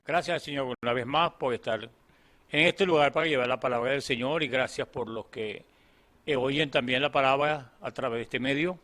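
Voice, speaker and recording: A man's voice: mid-range voice, normal speed, pushed and bright. A man preaching a sermon in an earnest tone, often checking in with his listeners as he goes. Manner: earnest, with frequent "¿verdad?" fillers.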